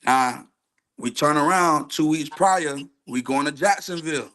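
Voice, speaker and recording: A man with a slightly high voice talks as a coach on a casual sports show. He argues emphatically in street slang, hammering points home by repeating phrases. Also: a little slow, sharp tone. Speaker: youth football coach, show guest. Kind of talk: casual, argumentative sports chat.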